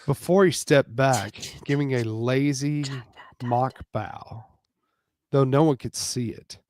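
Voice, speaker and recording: A man's voice: mid-range voice, slow pace, a little mellow. A man narrating a romance story in a dramatic reading style.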